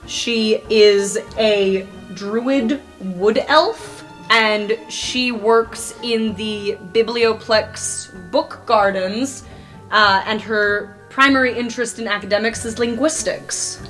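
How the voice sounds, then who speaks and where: A woman's voice: mid-range voice, slow pace, a bit bright, soft background music. A woman playing a tabletop game, casually introducing the character she plays.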